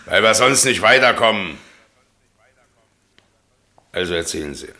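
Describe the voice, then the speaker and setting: A man's voice: lowish voice, animated, unhurried, bright, forceful tone, heavily acted. A man playing a stolid, bureaucratic police inspector in a German radio play, speaking with dismissive, pedantic authority. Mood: stern, unhurried.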